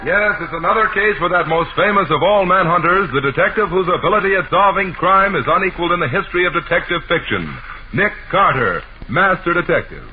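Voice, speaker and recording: A man's voice: sits high, animated, fairly quick. A man announcing a program in grandiose, emphatic 1940s radio style with dramatic flourish. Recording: tinny old radio broadcast recording. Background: organ music.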